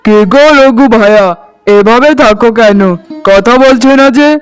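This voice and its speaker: Man playing a high-voiced, chummy cartoon shopkeeper. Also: normal speed, smooth, soft background music. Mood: friendly, inquisitive. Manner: chummy, coaxing. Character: friendly, chatty village sari seller. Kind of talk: cartoon dialogue.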